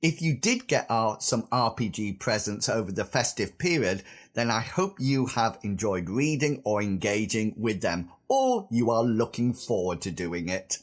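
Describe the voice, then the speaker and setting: Husky guy in his 50s hosting a podcast, pitch bounces around a lot. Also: very animated, moderate pace. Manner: chatty, self-deprecating asides. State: recovering from a sore throat. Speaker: podcast host. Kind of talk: scripted solo podcast monologue.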